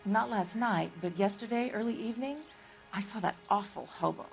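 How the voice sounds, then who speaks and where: A woman's voice: mid-range voice, normal speed, vocal fry, music in the background, natural voice. An actress playing an uneasy, respectable married woman giving a witness account in a video game cutscene.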